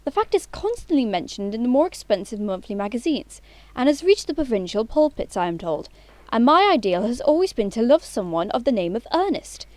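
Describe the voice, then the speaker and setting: Lady acting posh-mannered dreamy young victorian miss, very animated, affected and sure of herself, close dry sound. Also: on the high side, a little fast, dry recording. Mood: gushing, assured. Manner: affected, precise, self-assured. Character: imperious romantic young Victorian society lady. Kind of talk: audio play acting of a comedy of manners.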